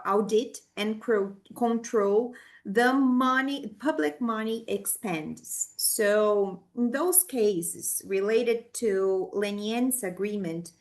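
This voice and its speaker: A Brazilian woman, a native Portuguese speaker with a Brazilian accent, answering questions at an academic forum in halting English with many restarts. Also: mid-range voice, fairly bright tone. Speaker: conference presenter.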